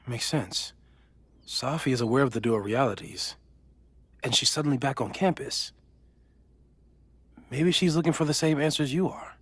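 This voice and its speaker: An actor playing an earnest, analytical and worried friend, gentle and thoughtful, in naturalistic video game dialogue. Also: male voice, mid-range voice, moderate pace, long pauses, breathy edge, no room sound, close to an everyday voice. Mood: concerned, thoughtful.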